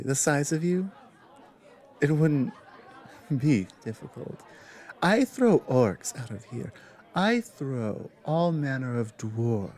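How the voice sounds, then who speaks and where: Man playing a slow, stern minotaur bouncer. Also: a little high, a little mellow, exaggerated voice. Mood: boastful, amused. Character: huge, stern minotaur tavern security guard, confident and teasing. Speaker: audio roleplay performer. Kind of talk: ASMR roleplay, in-character monologue.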